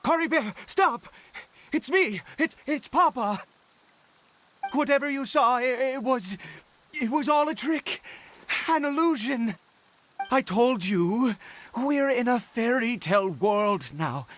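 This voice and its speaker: A man's voice: very high-pitched, animated, slow pace, long pauses, a bit bright, slight background noise, heavily acted. A man playing a desperate, unhinged middle-aged father in a game, stammering and pleading frantically as he searches for his son. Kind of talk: dramatic game voice acting.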